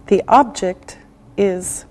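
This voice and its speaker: A woman teaching an English class, speaking slowly and deliberately with clear enunciation. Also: mid-range voice, background noise. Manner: slow and deliberate, drawing out words.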